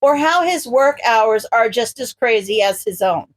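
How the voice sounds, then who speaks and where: High-voiced woman narrating fiction. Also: animated, a little slow. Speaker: podfic narrator. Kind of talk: expressive scripted fiction narration.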